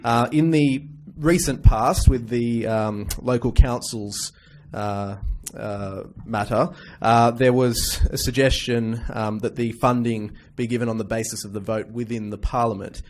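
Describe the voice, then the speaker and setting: A man moderating a live public forum, speaking in a halting, procedural way into an event microphone. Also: medium pitch, steady tone, a little mellow. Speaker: panel moderator. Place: public meeting room.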